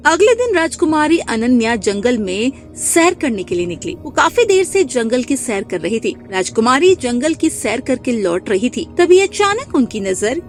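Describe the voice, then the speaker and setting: A woman's voice: a little high, sing-song, moderate pace, hardly any pauses, faint music behind. A woman giving scripted narration for a children's cartoon story.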